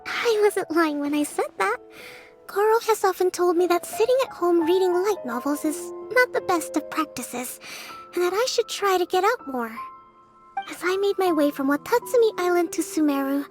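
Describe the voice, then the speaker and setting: An actress playing a calm, gentle young priestess in a game cutscene, speaking in a measured, soft-spoken way. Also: female voice, very high voice, faint music behind. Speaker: divine priestess.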